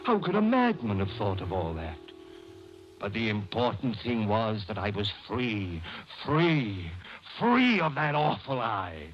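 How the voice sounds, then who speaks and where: An actor playing a nervous madman murderer who feigns genteel calm, in theatrical old radio drama style with overpolite, hesitant speech. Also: lowish voice, a little slow. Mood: gloating, intense. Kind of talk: 1940s radio acting, suspense monologue and dialogue. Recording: noisy, muffled old radio recording.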